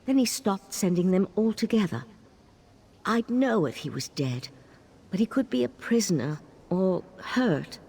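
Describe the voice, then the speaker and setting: An actress playing a troubled, sardonic mage in a video game, speaking in a weary, confiding way. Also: female voice, mid-range voice, animated, measured pace, vocal fry, darkish tone, breathy edge, full character voice. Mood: worried, resigned. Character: troubled, sardonic female Psijic mage, grieving mother. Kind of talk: video game character dialogue. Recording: game music behind.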